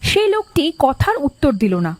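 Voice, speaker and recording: A woman's voice: high voice, very animated, fairly quick, a little mellow, no echo. A woman narrating a scripted horror cartoon story.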